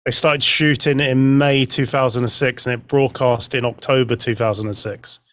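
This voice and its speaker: Man, medium pitch, dry recording, podcast guest, informal, detailed explaining. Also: fairly even intonation, normal speed, fairly bright tone, dry recording. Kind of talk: informal fan discussion.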